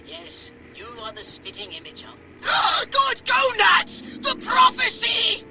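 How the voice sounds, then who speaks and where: Pompous alien boss from a video game, high voice, swoops all over, super theatrical. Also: male voice, huge pitch swings, slow, over loud music, full character voice. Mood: excited. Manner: grandiose, theatrical, verbose. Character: pompous, excitable male alien commander and scholar. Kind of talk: video game cutscene acting.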